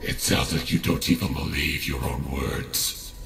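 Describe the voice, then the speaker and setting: Very deep, gravelly, slow monster. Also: male voice, steady tone, exaggerated voice. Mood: coldly cruel. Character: cruel, calm monster, male, taunting its creator. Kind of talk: dramatic fan fiction audio reading.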